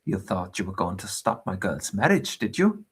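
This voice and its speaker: Sly old clockmaker baddie chuckling low, raspy gravelly voice, man reading it. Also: big pitch swings, moderate pace, heavily acted. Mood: gloating. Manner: muttering, chuckling menace. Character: gloating old male clockmaker villain.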